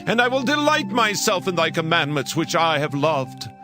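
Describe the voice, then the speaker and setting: A man performs scripture as a dramatized reading. His voice is a little higher than most, with music clearly playing behind. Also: big pitch swings, moderate pace. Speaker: scripture reader. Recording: reading over a music bed.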